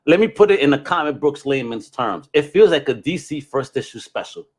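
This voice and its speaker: A man's voice: on the high side, animated, a little fast, slightly rough. A man hosting a podcast in a casual, slangy chat style full of informal banter. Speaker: podcast host.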